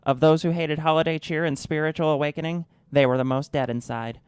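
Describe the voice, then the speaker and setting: A man's voice: a little high, fairly even intonation, fairly quick, smooth, no room sound, studio quality, lightly acted. A man playing a grave documentary war narrator in a satirical radio drama, portentous and dramatic scripted narration.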